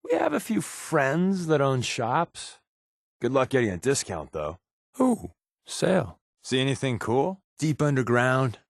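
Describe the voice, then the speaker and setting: An actor playing a wry, secretive spy and loyal wisecracking companion in a video game, talking in casual, conversational asides. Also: male voice, medium pitch, dry recording, clean studio sound. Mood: easygoing, casual.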